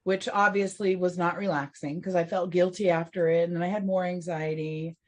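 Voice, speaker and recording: A woman's voice: on the low side, fairly even intonation, a little fast. A woman co-hosting a recovery podcast, talking reflectively with many restarts and laughing between thoughts. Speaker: podcast co-host. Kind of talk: casual chat on recovery and spirituality.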